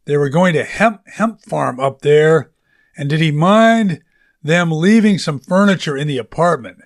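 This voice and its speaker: A man's voice: fairly high pitch, animated, unhurried. A man reading scripted fiction aloud as a narrator, with a casual, conversational storytelling delivery. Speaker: audiobook narrator.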